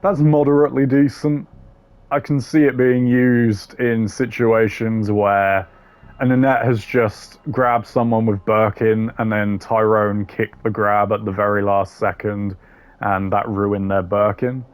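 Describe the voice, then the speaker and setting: A man's voice: fairly low pitch, level tone, measured pace, clean tone. A man hosting a gaming video with casual, wry, sardonic commentary and some swearing. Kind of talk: casual tier-list commentary.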